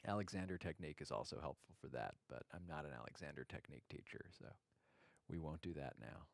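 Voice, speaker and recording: A man with a very low voice and plenty of vocal fry guides a meditation in a conversational way. His manner is gentle and reflective, with halting phrases and long pauses. The sound is dry, with hardly any room to it. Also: lively intonation, no echo.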